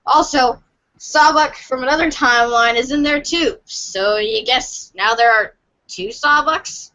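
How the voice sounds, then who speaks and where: A woman's voice: high-pitched, a little slow, pushed and bright, low-quality recording. A woman reading second-person comic narration aloud for a podcast. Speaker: reader in a webcomic reading podcast.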